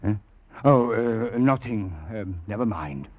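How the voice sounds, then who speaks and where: An actor playing a troubled, fatalistic district commissioner, intense and brooding in an old-time radio drama style. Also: male voice, lowish voice, animated, slow. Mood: preoccupied. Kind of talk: 1940s-50s radio drama narration and acting. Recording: muffled vintage radio recording, music behind.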